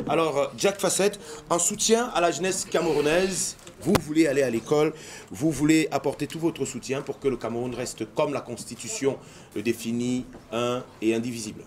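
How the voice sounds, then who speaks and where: A man hosting a TV interview in a lively, chatty way. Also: mid-range voice, normal speed, a little hiss or hum. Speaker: TV host. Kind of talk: casual interview.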